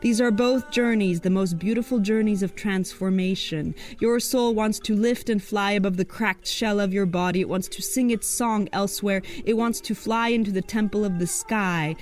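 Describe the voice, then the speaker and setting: A woman tells a poetic tale in a slow, incantatory, drawn-out voice of middle pitch. Her words unfold like a ceremony while soft instrumental music plays faintly beneath her. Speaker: storyteller. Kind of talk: slow poetic spoken-word narration.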